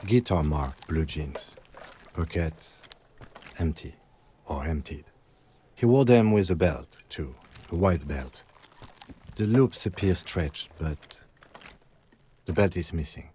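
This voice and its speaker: An actor playing a composed, methodical police lieutenant in a video game, speaking with restrained, procedural precision. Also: male voice, low-pitched, expressive, slow pace, pauses often, dark tone, slight background noise. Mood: composed, businesslike. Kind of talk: scripted game dialogue.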